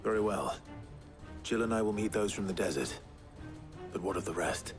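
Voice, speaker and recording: An actor playing a reserved, dutiful warrior hero in a video game, speaking tersely and earnestly. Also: male voice, deep voice, animated, moderate pace, music in the background. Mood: resolute. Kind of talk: video game cutscene acting.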